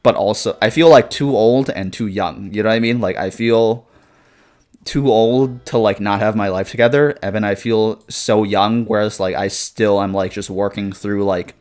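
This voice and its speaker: A man's voice: fairly low pitch, steady tone, normal speed, darkish tone. A man in his twenties chatting casually on a live stream, reading viewer comments and rambling with lots of swearing, fillers and laughs. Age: 20s.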